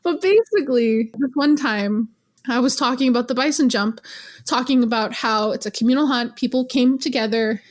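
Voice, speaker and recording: A young woman from Canada, a guest in an interview, telling stories in a lively, teasing way and laughing often. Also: a little high, moderate pace. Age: young adult. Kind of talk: casual interview.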